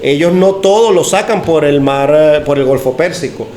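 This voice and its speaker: A man hosting a podcast, asking long questions in a relaxed, conversational way. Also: fairly high pitch, normal speed. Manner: conversational, asks long questions, uses fillers like "ok?" and "este". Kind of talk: casual podcast discussion. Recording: echoey room with faint noise.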